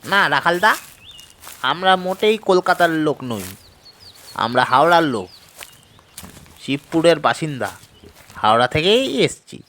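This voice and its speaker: A man's voice: a little high, very animated, measured pace, long pauses, sharp tone, a little hiss or hum, exaggerated voice. An actor playing an assertive, proud young man in an audio story, speaking in an insistent, correcting way.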